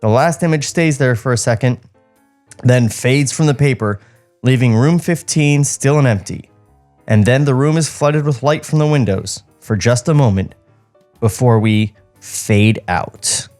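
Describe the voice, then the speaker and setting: A man's voice: medium pitch, unhurried, darkish tone, faint music behind. A man co-hosting a podcast, reading stage directions aloud in a table read with joking asides.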